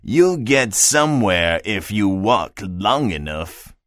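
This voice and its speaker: A calm, riddling talking cat from a storybook, as a man puts it on. It drawls slowly and knowingly in a deep, lively voice. Dry recording. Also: no echo, full character voice. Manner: slow, drawn-out and knowing.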